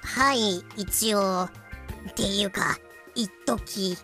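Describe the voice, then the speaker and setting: A woman's voice: mid-range voice, very slow, pushed and bright, soft background music. A woman voicing a spirited, cheeky pirate captain in an audio drama, with a youthful, plucky, blunt manner. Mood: sheepish.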